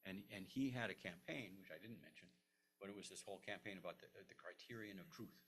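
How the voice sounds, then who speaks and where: An older man, a historian, answering audience questions at a lecture, halting with many restarts and fillers. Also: low voice, a bit bright, a little hiss or hum. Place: lecture room.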